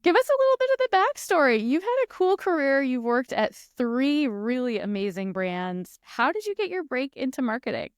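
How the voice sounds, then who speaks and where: A woman's voice: high voice, sing-song, normal speed, few pauses, clear, resonant tone, no echo, studio quality. A woman hosting a podcast, reading the introduction and asking the guest questions in an upbeat, enthusiastic way. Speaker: podcast host.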